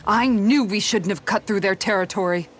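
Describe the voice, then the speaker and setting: A woman's voice: mid-range voice, normal speed, slight background noise, close to an everyday voice. A woman voicing a dry-witted, practical adventurer in a game, with a wry, matter-of-fact delivery. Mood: wry, concerned. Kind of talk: dubbed anime-style game voice acting.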